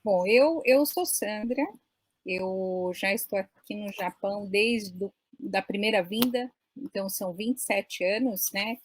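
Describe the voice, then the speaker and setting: A woman's voice: mid-range voice, unhurried, slightly rough, no echo. A Brazilian woman in her forties hosting a live online chat in a friendly, talkative way, often adding "né?". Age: 40s. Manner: friendly, chatty, many "né?" tags. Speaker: host. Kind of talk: casual live-stream chat.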